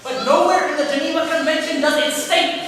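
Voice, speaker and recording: A man's voice: very high-pitched, fairly quick, crowd noise. A man playing a sneering official showing off a torture robot in a student stage performance, recorded from far off in an echoey hall with noise. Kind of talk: student reader's theatre performance.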